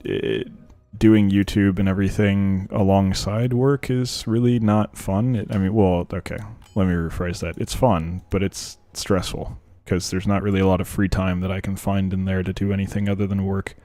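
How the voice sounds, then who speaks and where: A man's voice: low voice, level tone, normal speed, hardly any pauses, darkish tone, no echo. A young man with a sore throat, chatting and venting casually while playing a game. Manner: rambling, venting, frank. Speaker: gaming YouTuber. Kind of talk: casual gameplay commentary.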